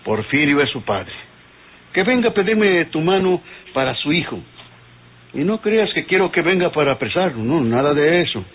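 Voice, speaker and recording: A man's voice: fairly high pitch, sing-song, normal speed, husky, full character voice. An actor playing a stern, proud father, emphatic and authoritative, in an old radio drama. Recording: tinny old radio recording.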